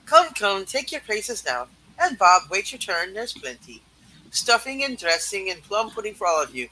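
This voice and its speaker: A woman's voice: mid-range voice, big pitch swings, normal speed, sharp tone, slight background noise, low-quality recording. A woman playing a fussing, fiercely protective working-class mother, theatrical and exclaiming, in a radio play reading. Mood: bossy, bustling.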